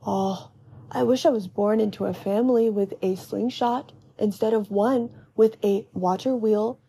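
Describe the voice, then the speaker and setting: A woman's voice: mid-range voice, fairly even intonation, unhurried, vocal fry, dark tone, slightly rough. A woman voicing a tiny, clever, wistful little boy in storybook dialogue, hesitant and grumbling.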